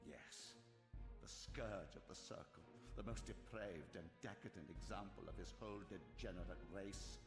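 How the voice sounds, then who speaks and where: Game villain: ancient scheming time sorcerer, lowish creaky voice, grandiose and oily, cavernous reverb. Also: male voice. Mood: grave, bitter. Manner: grandiose, unctuous, deliberate, ornate phrasing. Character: sly, aged, silver-tongued sorcerer guarding time. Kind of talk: theatrical video game voice acting. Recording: echoey hall reverb, music behind.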